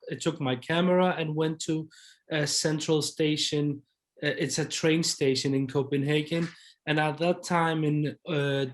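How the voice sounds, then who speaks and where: Hesitant man, somewhat high voice. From Iraq. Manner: hesitant, with fillers and restarts. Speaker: documentary director. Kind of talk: panel talk answering questions.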